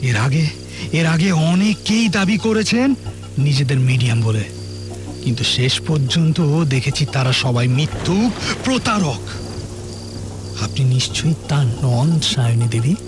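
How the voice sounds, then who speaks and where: A haunted, imperious older hunter. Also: male voice, fairly high pitch, very animated, normal speed. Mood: brooding, challenging. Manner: theatrical, emphatic, repeats phrases for effect. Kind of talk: melodramatic Bengali audio drama acting. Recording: dramatic music behind the voice.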